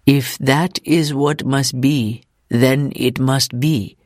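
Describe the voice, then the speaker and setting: A solemn, stubborn man of faith from an audiobook, played by a female narrator. He speaks very slowly, low and formal, with grave weight on his words. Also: steady tone, a little mellow, dry recording, clean studio sound, heavily acted. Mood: resigned. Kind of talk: audiobook character acting.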